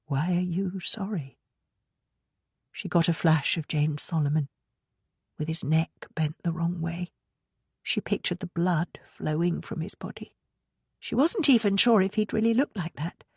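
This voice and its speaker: A woman with a husky voice reading a novel aloud in a measured, expressive storytelling manner. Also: fairly low pitch, no echo, studio quality. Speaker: audiobook narrator. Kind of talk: scripted fiction narration.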